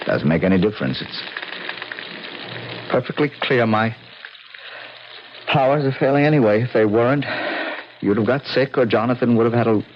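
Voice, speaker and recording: An actor in an old-time radio drama playing a self-dramatizing, feverish husband who yearns for his wife, with halting, repetitive delivery. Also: mid-range voice, expressive, slight background noise, lightly acted. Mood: weary, defeated. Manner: theatrical, halting, repeats words. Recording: muffled vintage radio recording with music.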